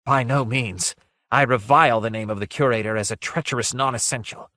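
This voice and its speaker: A man's voice: mid-range voice, brisk, dry recording, clean studio sound, natural voice. Man voicing a breathless, quavering, sorrowful ghost of terror. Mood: mournful, sinister. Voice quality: soft, breathless, quavering, sighing. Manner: archaic, ornate, mournful speech. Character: sad ghostly abstraction of terror, breathless and menacing.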